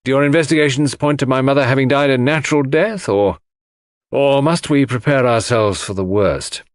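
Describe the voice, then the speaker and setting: A man voicing a composed, courteous gentleman in an audiobook, speaking formally. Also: mid-range voice, normal speed, no room sound, clean studio sound, voice pushed up, natural voice. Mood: polite, guarded. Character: composed English gentleman, polite grown son in mourning. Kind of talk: audiobook character acting.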